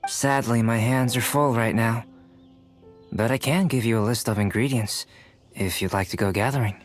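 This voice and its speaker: A man's voice: mid-range voice, soft background music, close to an everyday voice. Man as a composed, courteous young alchemist. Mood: composed, analytical. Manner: composed, measured, polite. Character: calm, courteous, analytical young male alchemist. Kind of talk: video game voice acting.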